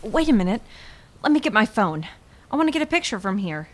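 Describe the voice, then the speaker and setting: An actress playing a practical, fondly exasperated wife in a radio drama, talking in a natural, gently teasing way. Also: female voice, medium pitch, big pitch swings, a little fast. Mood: curious.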